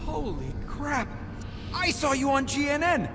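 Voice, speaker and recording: Grateful civilian worker for a game: excitable, hesitant, high-pitched, reverberant. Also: male voice, measured pace, music in the background, exaggerated voice. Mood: grateful, rattled. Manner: earnest, hesitant. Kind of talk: video game cutscene acting. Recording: noisy, reverberant hall.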